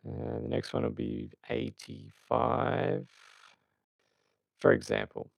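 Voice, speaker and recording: A man giving an unscripted technical tutorial, halting as he thinks aloud. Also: lowish voice, huge pitch swings, gravelly, dry recording. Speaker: audio tutorial presenter.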